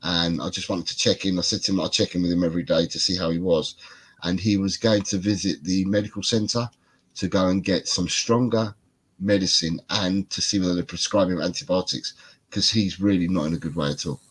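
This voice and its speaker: Male British host, very low husky voice, stuffed-up and congested, rambling casual chat. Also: fairly even intonation, fairly quick, a bit bright. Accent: British English. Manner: rambling, repeats himself. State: congested, bunged up. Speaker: podcast host.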